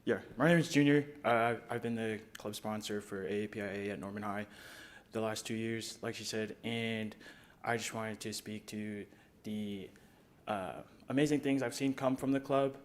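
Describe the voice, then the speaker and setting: A man's voice: fairly low pitch, steady tone, normal speed. Male club sponsor from Colorado Springs, earnest conversational speech, some fillers, faint background hum. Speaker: high school club sponsor. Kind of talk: speech at council meeting. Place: city council chamber.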